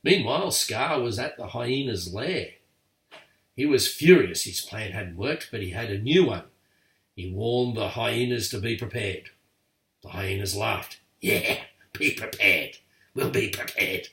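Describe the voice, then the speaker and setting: Older man, lively storybook reader. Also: on the low side, a little slow, gravelly. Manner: lively, expressive read-aloud. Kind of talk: bedtime story reading. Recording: echoey room, far from mic.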